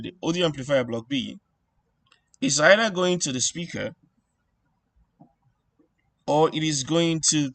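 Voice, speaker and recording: A man giving an informal electronics tutorial, thinking aloud in a halting way and repeating phrases as he works things out. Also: fairly high pitch, a bit bright, dry recording. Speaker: instructor.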